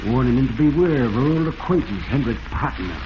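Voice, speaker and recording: A man's voice: medium pitch, lively intonation, quick pace, close to an everyday voice. An actor playing a bitter, vengeful and unhinged male convict in an intense, theatrical 1940s radio melodrama style. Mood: gloating, sly. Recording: muffled, noisy, echoing vintage radio recording.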